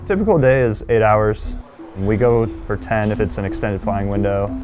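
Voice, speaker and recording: A man's voice: on the low side, normal speed. A young man, an air traffic controller, explaining his job plainly in a documentary interview. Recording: music bed behind voice.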